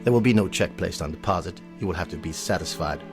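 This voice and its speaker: A deep-voiced, haughty, stern colonel. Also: male voice, music in the background. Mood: cold, haughty. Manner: measured, formal, pompous. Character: haughty, wealthy club colonel, stern and exacting. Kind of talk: audio drama acting.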